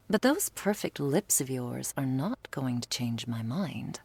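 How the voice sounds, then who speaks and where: A woman playing a stern but devoted vampire lover in an ASMR roleplay, speaking in a formal, measured, affectionate way. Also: low voice, no room sound, full character voice. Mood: stern, teasing.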